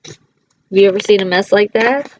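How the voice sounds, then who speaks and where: A woman's voice: medium pitch, normal speed, muffled recording, a little hiss or hum. A woman reading a picture book aloud to young children like a teacher, expressively, with simple chatty asides. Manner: simple, child-directed phrasing.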